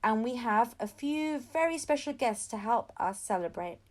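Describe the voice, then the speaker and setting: A woman voicing a brisk, commanding female primary school teacher addressing her class in an audiobook. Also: on the high side, animated, lightly acted. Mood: announcing, firm.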